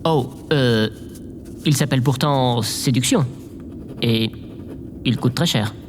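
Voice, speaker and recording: A man's voice: mid-range voice, slow pace, noisy background, close to an everyday voice. An actor playing a meek, awkward, eager male aide in a French audio drama, hesitant and deferential. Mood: sheepish.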